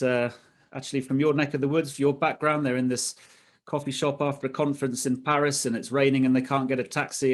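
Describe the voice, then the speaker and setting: A man hosting an interview, asking measured, conversational questions. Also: mid-range voice. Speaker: interview host.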